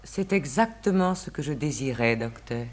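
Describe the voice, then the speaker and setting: Plaintive, polite young wife. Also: female voice, rumbling low, animated, normal speed, a little mellow. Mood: polite, insistent. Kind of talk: 1960s French radio serial acting.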